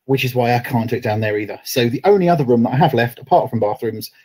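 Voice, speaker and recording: A man's voice: mid-range voice, fast, a little mellow. A middle-aged man co-hosting an online knitting chat from his bedroom over a video call, jokey and friendly. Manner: jokey and friendly, reads out viewer greetings. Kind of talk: casual chat. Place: bedroom at home. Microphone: laptop webcam on video call.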